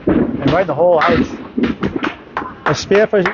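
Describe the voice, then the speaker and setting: A man with a slightly high voice calls a bowling tournament live, working through scores and records in a plain, matter-of-fact way. The sound is dull and lo-fi, with loud noise from the alley all around him. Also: moderate pace. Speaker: bowling announcer. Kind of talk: live sports commentary.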